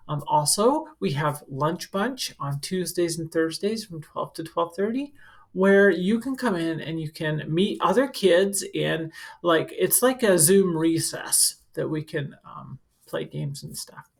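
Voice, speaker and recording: Woman with creaky voice reading to kids. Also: sits low. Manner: simple, instructive phrasing aimed at young children. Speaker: school counselor reading to students. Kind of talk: children's picture book read-aloud with casual explanatory asides.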